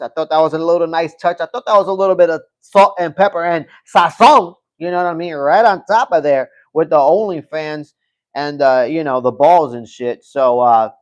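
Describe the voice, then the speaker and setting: A man hosting a live stream, chatting loosely as he rambles, repeats himself and swears now and then. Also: fairly high pitch. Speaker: livestream host. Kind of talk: casual live-stream commentary.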